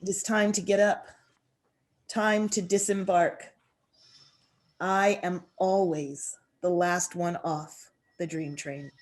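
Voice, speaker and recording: A woman author presenting and reading her poetry in a measured, expressive way. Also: lowish voice. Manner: measured, deliberate, expressive delivery.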